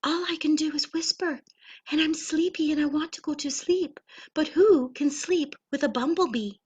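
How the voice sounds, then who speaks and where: A woman's voice: sits high, moderate pace, darkish tone, pitched above the performer's own voice, close to an everyday voice. Woman doing a sleepy whispering little rabbit. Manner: breathy whispering.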